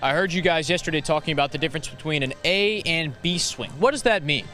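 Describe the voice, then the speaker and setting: High-voiced American male sports announcer. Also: fast, sharp tone, faint music behind. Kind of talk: play-by-play, interviewing and scripted reporting.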